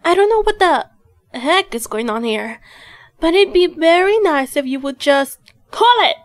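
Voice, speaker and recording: Bewildered, feisty young woman played by a woman, audio drama: high, unhurried, slangy and exclaiming. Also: lively intonation, dry recording. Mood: irritated. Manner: slangy, exclaiming, peppers talk with Japanese words. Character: confused, irritated, outspoken young woman, comically bewildered.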